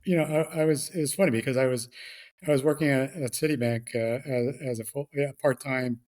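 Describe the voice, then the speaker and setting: Halting middle-aged man from the Bronx. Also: mid-range voice, steady tone, darkish tone. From New York, the Bronx. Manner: halting, many fillers and repeated words. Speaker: guest, regulatory affairs expert. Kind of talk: casual interview chat.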